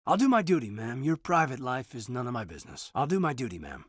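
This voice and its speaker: A man playing an earnest, reserved military officer in a sci-fi video game, sincere, thoughtful and dryly wry, with a slightly husky voice. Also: mid-range voice, sing-song, fast talker, no echo, clean studio sound. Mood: dutiful, matter-of-fact. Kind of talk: video game dialogue acting.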